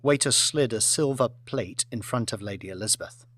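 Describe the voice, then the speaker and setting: A man's voice: medium pitch, a little fast, a bit bright, no room sound. A man narrating an audiobook in a dramatic, scripted storytelling style.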